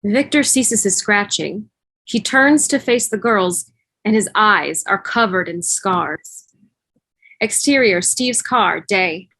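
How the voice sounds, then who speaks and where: A woman's voice: mid-range voice, takes it slowly, bright, forceful tone, dry recording, poor audio. A woman reading stage directions as the narrator of a scripted table read.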